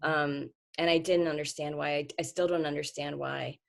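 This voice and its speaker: A woman with a low, gravelly voice talks as an author on a video call. She speaks earnestly and reflectively, often restarting her sentences. Her tone sits deep in her range. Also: fairly even intonation, normal speed. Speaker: author being interviewed.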